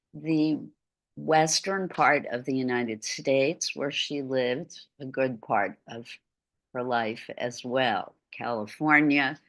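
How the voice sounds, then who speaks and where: A woman's voice: deep voice, fairly bright tone. A middle-aged woman giving an art lecture over a video call from a room at home, with chatty asides.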